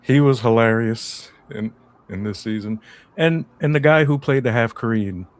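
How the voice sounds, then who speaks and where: A man in casual podcast chat, relaxed and slangy, stretching his stories out as he goes. His voice sits at an ordinary middle pitch. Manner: laid-back slangy banter, drawn-out storytelling. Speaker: podcast co-host.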